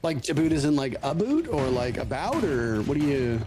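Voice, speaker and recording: A man from Canada commentating live esports, teasing his co-caster and then building to excited hype. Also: a little high, moderate pace, a little hiss or hum.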